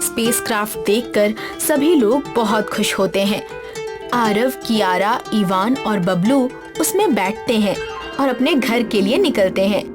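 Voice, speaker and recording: A woman's voice: mid-range voice, lively intonation, a little slow, over music. A woman narrating a scripted story for children.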